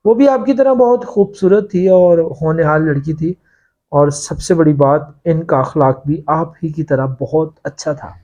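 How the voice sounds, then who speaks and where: Man reading as confiding, mellow-voiced factory worker. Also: on the high side, measured pace, dull audio, close to an everyday voice. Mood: grave confiding. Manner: confiding, cautioning, deferential. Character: long-serving male factory worker, secretive, earnest informant.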